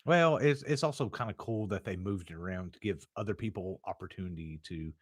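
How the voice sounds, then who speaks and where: Low-voiced laid-back man, rambling chat. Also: brisk, no room sound, studio quality. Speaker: podcast co-host. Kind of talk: casual livestream chat.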